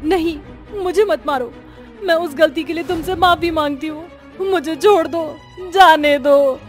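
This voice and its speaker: Horror-story villager played by a woman: frightened wife, high-pitched, dramatic, music underneath. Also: unhurried. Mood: pleading. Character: frightened village wives facing a murderous witch.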